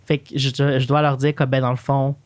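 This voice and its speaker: A woman's voice: a bass voice, flat delivery, a little fast, a little mellow. A young woman from the Montreal area with a Quebec French accent, co-hosting a casual podcast in slangy French mixed with English. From Montreal area, Quebec, of Chilean parents. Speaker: podcast co-host. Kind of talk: casual conversational podcast chat.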